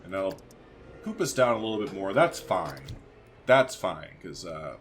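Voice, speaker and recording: A man's voice: low-pitched, big pitch swings, a little slow, a little hiss or hum. A man hosting a gaming video, giving casual, slangy let's play commentary and thinking aloud.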